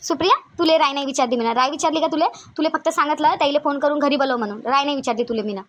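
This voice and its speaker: A woman's voice: sits high, rapid-fire, fairly bright tone. A woman voicing a bossy, suspicious older mother-in-law in a cartoon family skit, insistent and repetitive, with a Vidarbha Marathi accent. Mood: outraged, scolding. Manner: scolding, insistent, repeats her demands.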